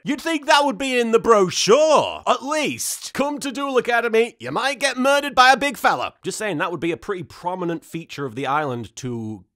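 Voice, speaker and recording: A man's voice: very high voice, fairly bright tone. A man in his thirties giving a playful, sarcastic comedy recap to camera in a theatrical manner. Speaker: host of an episode recap and reaction series.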